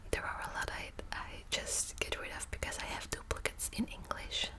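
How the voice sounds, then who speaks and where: A woman whispering close to the mic in an ASMR book video, hesitant with many ums and restarts, also reading passages aloud. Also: normal speed. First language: Dutch. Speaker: ASMR creator.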